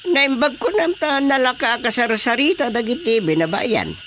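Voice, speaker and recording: A man's voice: very high-pitched, expressive, moderate pace, fairly bright tone, slight background noise. A man playing an old, easygoing village husband and father who gossips with his wife in a radio drama. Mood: amused.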